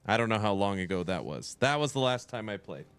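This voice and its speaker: A man's voice: fairly low pitch, a little fast, smooth, dry recording. A man streaming a game, chatting casually with his viewers and answering their questions while nasal from a cold. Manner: relaxed, answers chat questions aloud. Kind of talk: casual live-stream chat.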